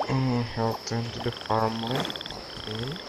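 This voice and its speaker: A man's voice: medium pitch, level tone, takes it slowly, heavy background noise. A man with an Indonesian accent, muttering casually while playing a video game. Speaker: gamer. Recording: loud game music behind the voice.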